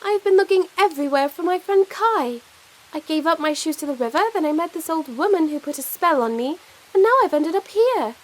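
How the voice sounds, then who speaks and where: A woman playing a polite, earnest young girl in an audio play, speaking gently and sincerely. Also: high voice, animated, a little fast, poor audio. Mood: earnest, downcast.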